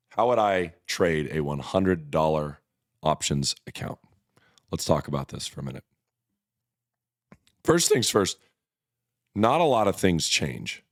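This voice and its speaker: Slightly hoarse, deep-voiced man. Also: dry recording, clean studio sound. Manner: blunt, conversational, frequent restarts. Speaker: options trading YouTuber. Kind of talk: casual direct-to-camera explainer.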